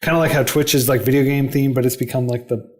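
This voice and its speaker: A man's voice: medium pitch, steady tone, quick pace, darkish tone. A man, a native English speaker, talking as the guest in a casual podcast interview. He explains at length and peppers his speech with "like" and "you know". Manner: chatty, explanatory, lots of "like" and "you know" fillers. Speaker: interview guest, head of a coding non-profit.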